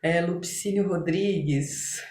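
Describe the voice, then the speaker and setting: A woman's voice: fairly low pitch, takes it slowly, a little mellow. A middle-aged Brazilian woman chatting casually as an interview guest, reminiscing in a conversational way. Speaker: podcast guest, physician. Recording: roomy echo, clear background noise.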